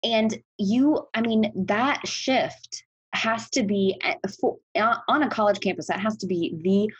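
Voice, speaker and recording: A woman's voice: mid-range voice, a little slow, breathy edge, dull audio. A woman hosting a podcast, reading a scripted intro and then chatting casually with her guest. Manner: warm upbeat interviewer, lively rises. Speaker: podcast host.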